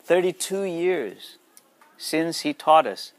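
A man's voice: a little high, expressive, takes it slowly. A man in his forties from Nepal, native speaker of Nepali, giving an earnest motivational talk to schoolchildren and often checking in with them. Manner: earnest, asks the audience questions.

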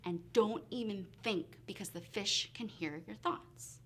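A woman's voice: mid-range voice, a little slow, pitched below the performer's own voice, natural voice. A woman telling a children's story, voicing a sly, playful male fox with a coaxing, instructing manner.

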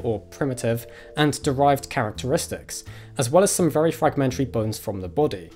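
Young man, science news presenter, animated intonation, light music bed. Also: fairly high pitch. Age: young adult. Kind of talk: scripted science news reading. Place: room with bookshelves and dinosaur models.

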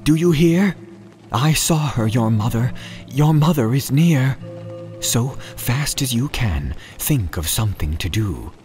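A man's voice: medium pitch, expressive, slow pace, a little mellow, faint music behind, pitched above the performer's own voice. A man voicing an anxious, scolding pet fish in a storybook, fretful and urgent. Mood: panicked. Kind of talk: storybook read-aloud.

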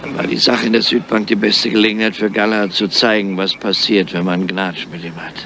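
An actor playing a crafty, weary, grumpy police inspector in a radio crime drama, speaking in a dry, measured, deliberate way. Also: male voice, lowish voice, little pitch movement, sharp tone. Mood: matter-of-fact, probing. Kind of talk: 1970s German crime radio drama acting. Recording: noisy, dull old radio drama recording.

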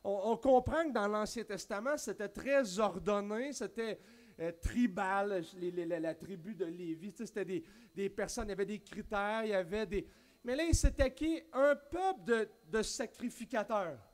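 High-voiced Québécois pastor preaching animatedly. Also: male voice, moderate pace. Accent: Québécois French. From Quebec. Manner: animated, gesturing widely, many restarts and spoken asides. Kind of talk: sermon. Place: church stage at a wooden pulpit, indoors.

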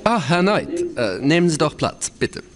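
Courteous, lively-toned lawyer. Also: male voice, fairly high pitch, moderate pace, a crowd in the background. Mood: welcoming. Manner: polite, explanatory. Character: courteous, knowing male lawyer. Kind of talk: video game acting.

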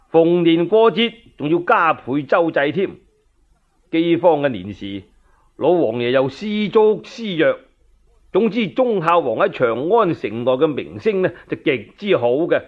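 A man telling a historical tale in Cantonese as a radio storyteller, colloquial and speaking straight to his listeners. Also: fairly high pitch. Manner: colloquial, addressing listeners directly. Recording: narrow-band, phone-like radio recording.

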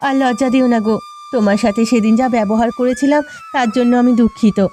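A woman's voice: a little high, steady tone, fast talker, warm tone, soft background music, lightly acted. A woman voicing a humbled, once-arrogant village woman in a cartoon, pleading for help. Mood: pleading, apologetic. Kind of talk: cartoon voice acting.

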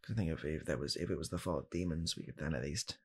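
Young british guy doing deadpan asmr as a bitter tired dad, super low husky voice, halting and quiet. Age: young adult. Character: bitter, weary father coldly blaming his young child. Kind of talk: deadpan comedic ASMR roleplay monologue. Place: small home room, indoors.

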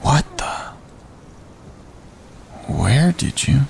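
A man's voice: medium pitch, very animated, extremely slow, gravelly, noisy background, exaggerated voice. A man acting in a naturalistic audio drama as a weary, practical winter expedition member, speaking in a dry, understated, terse way. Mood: irritated, baffled.

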